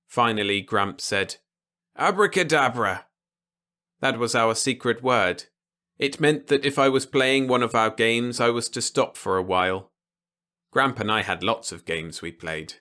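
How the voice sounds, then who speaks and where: A man's voice: on the low side, pushed and bright, clean tone. A man narrating an audiobook short story, read from a script in the simple first person of a child.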